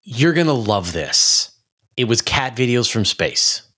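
A man's voice: mid-range voice, sing-song, a little slow, bright, forceful tone. A man speaking as a podcast guest, explaining space science in an enthusiastic, informal conversational way.

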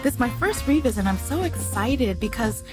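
A woman hosting a casual podcast interview from a small cafe, upbeat and excited, with many restarts. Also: fairly high pitch, moderate pace, music in the background, low-quality recording. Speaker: podcast host.

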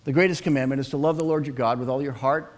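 A man's voice: medium pitch, fairly even intonation, fast. A middle-aged male pastor preaching an earnest sermon and asking the congregation to respond.

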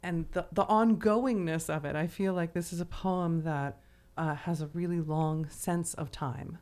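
Woman, podcast host, very low pitch, dark soft-edged tone, conversational, thoughtful, halting word search. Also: steady tone. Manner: thoughtful, hesitant, searching for words. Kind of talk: conversational literary discussion.

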